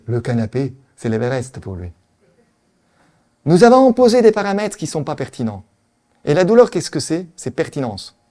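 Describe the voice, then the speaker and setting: A middle-aged man with an Italian accent, giving a conference lecture as a veterinary specialist, animated and full of rhetorical questions. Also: mid-range voice.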